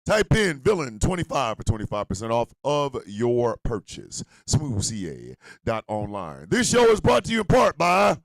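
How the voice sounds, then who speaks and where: A man hosting an internet radio show and doing comedic ad reads in a loud, rambling, jokey way. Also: medium pitch, wildly swooping pitch, moderate pace, few pauses, no echo.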